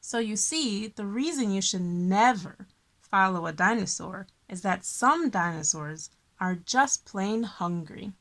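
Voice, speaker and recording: A woman's voice: medium pitch, animated, unhurried, fairly bright tone. A woman hosting a children's story time, reading a picture book expressively with friendly asides and stressed key words.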